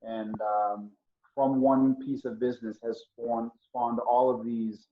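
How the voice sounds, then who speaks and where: A man's voice: mid-range voice, little pitch movement, takes it slowly. A middle-aged man hosting a casual interview, talking at length in an encouraging way with frequent fillers. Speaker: host. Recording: dull roomy audio.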